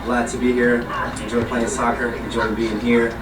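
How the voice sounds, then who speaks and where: A man's voice: mid-range voice, completely flat delivery, moderate pace. A man from Iraq, a police recruit, giving an earnest, informal testimonial and repeating his phrases. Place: community soccer event. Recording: distant, echoey, very noisy location sound. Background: loud ambient noise.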